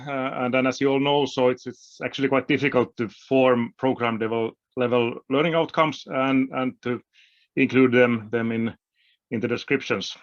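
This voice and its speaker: A man's voice: medium pitch, fairly even intonation, normal speed. Finnish man who repeats his words. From Finland. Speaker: conference presenter. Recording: slightly dull lo-fi audio.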